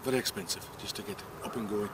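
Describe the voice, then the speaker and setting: A man's voice: lowish voice, quick pace. Agreeable man off camera. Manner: agreeable, lots of murmured assent. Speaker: visitor asking questions. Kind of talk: casual outdoor chat. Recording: outdoor camcorder audio with background noise.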